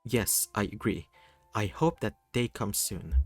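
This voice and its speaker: A man's voice: on the low side, measured pace, husky, no echo, faint music behind. A man voicing an awkward, earnest, starstruck fan in a visual novel reading. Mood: nervous admiration.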